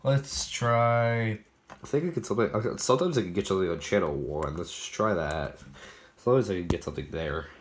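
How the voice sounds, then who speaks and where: Male hobbyist presenter, low voice, casual demo style, thinking out loud, clearly noisy recording. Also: expressive, fairly quick. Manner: rambling, thinking aloud. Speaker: collector presenting weather radios. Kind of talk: casual product demo vlog.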